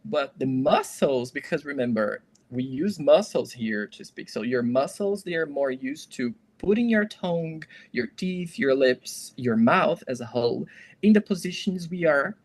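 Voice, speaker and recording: A man's voice: a little high, lively intonation, measured pace. Man explaining like a teacher. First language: Portuguese. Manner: teacherly, explains step by step and addresses listeners. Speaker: language expert guest. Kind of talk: explanatory conversation.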